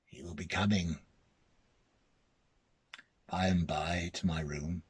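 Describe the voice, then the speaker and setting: A man giving a slow, halting dramatic first-person reading of a story, with a husky voice. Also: low voice, steady tone. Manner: hesitant, halting, repeating words.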